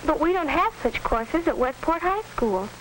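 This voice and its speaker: A polite, earnest high school girl speaks in a high, proper voice. The delivery is neat and scripted in the manner of 1940s educational films. The soundtrack is old, scratchy and clearly noisy. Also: animated, moderate pace. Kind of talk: 1940s educational film acting.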